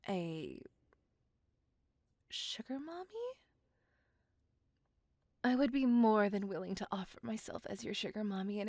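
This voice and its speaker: A woman voicing a refined, possessive vampire in an intimate ASMR roleplay, with a breathy whisper and formal, old-fashioned phrasing. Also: mid-range voice, darkish tone, no echo. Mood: courting, tempting. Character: refined, possessive, smitten female vampire courting a human.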